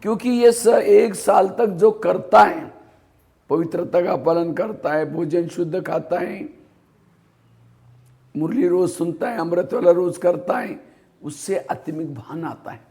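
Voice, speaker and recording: A man's voice: sits high, a little slow, creaky, mellow. A man giving a spiritual class, telling anecdotes and asking rhetorical questions that he answers himself. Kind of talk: Hindi spiritual discourse.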